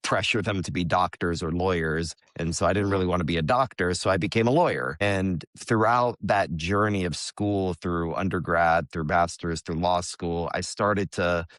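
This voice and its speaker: A man's voice: low voice, normal speed, few pauses, a bit bright, dry recording, studio quality. An American man speaking as a podcast guest, telling his story in candid, conversational talk. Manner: candid, conversational, occasional swearing. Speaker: podcast guest, real estate investor. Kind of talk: casual interview talk.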